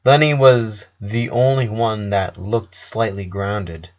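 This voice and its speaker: Slow-paced man narrating, dull recording. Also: fairly low pitch, steady tone. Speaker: fan fiction narrator. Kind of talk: scripted story narration.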